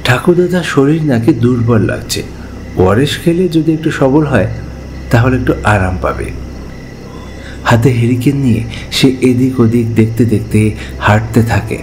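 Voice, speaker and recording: A man's voice: medium pitch, lively intonation, normal speed, long pauses, a little mellow, low-quality recording. A man giving a dramatic, scripted narration of a horror story as its narrator. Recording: music behind the voice.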